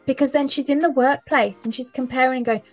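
Woman coach, higher register, earnest and emphatic, repeats for stress, light music bed. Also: steady tone, fast. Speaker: mindset coach and hypnotherapist. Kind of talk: conversational podcast talk.

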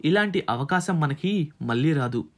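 An agitated male magazine manager in an audiobook, acted by a man. He is bossy and urgent, exclaiming in a high, widely swinging voice. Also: expressive, unhurried. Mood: urgent, pleading.

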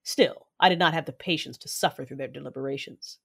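Proud, vengeful Sith commander played by a woman, formal and measured, narrating her own sci-fi audio drama with authority. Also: very deep voice, creaky, slightly rough, heavily acted. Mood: controlled, impatient. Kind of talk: first-person audio drama narration and dialogue.

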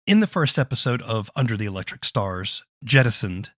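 A man's voice: fairly low pitch, animated, fairly quick, dry recording, clean studio sound. A man reading scripted narration as the host of an interview podcast.

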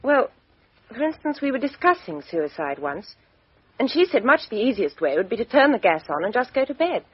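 A woman's voice: fairly high pitch, very animated, moderate pace. A frank, well-bred Englishwoman. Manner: crisp, frank, upper-class delivery. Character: composed, outspoken young Englishwoman. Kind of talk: 1950s radio drama acting. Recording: dull 1950s radio recording.

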